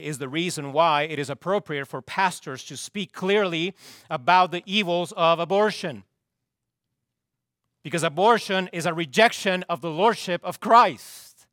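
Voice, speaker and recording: Slow, emphatic preacher with a strained voice. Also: male voice, pushed and bright, dry recording, studio quality. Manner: slow, emphatic, repeats key phrases, drops pitch at line ends. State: losing his voice, clearing throat. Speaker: pastor. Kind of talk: sermon.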